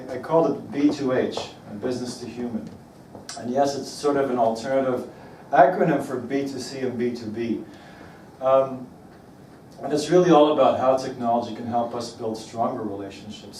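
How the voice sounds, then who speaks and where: A man's voice: mid-range voice, dark tone. Man from Belgium, talk to an audience, rhetorical questions, distant mic, faint background noise. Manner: rhetorical questions, emphatic slowed stresses. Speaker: speaker giving a talk. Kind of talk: presentation to an audience. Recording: roomy echo from far off, faint ambience.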